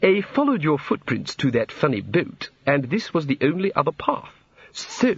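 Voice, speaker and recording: A man voicing a prim, haughty and sharp-tongued woman housekeeper, genteel and precise, in a storybook reading. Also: a little high, huge pitch swings, moderate pace, gravelly, husky, dry recording, muffled recording, exaggerated voice.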